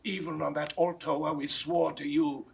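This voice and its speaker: An actor playing a mortally wounded French count, remorseful and earnest, declaiming in breathy classical Shakespearean style. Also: male voice, a little high, level tone, normal speed. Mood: urgent warning. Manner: declamatory, breathy dying delivery. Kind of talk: 1960s classical Shakespeare audio drama acting. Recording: noisy vintage 1960s studio recording.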